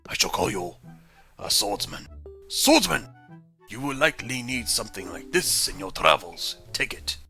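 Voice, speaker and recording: A man voicing a stern old male martial arts sensei, speaking slowly and formally in a mock Russian accent. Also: mid-range voice, very animated, vocal fry, pushed and bright, faint music behind, full character voice. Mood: solemn. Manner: slow, formal, solemn delivery.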